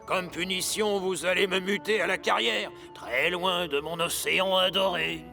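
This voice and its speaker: A man voicing a jolly, excitable old sailor diesel engine with hearty seafaring talk in a French children's cartoon. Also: high voice, normal speed, gravelly, soft background music, heavily acted. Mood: sheepish.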